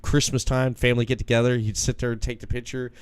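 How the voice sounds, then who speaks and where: A man's voice: mid-range voice, flat delivery, fast talker, smooth, no echo. A man in his late thirties hosting a podcast, chatting casually and rambling with repeated phrases. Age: 30s. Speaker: podcast host. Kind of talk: casual chat.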